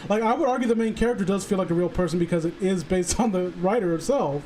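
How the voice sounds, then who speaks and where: A man chatting casually on a stream, halting and rambling as he earnestly works through his thoughts. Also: high voice, warm tone. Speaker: stream participant. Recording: faint background noise.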